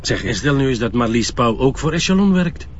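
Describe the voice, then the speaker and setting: An actor playing a patient, gently sceptical police inspector and sidekick with a Flemish accent in a crime drama. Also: male voice, medium pitch, sing-song, brisk, lightly acted. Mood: sceptical, reasoning. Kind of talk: broadcast crime drama acting. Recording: dull sound with background hum.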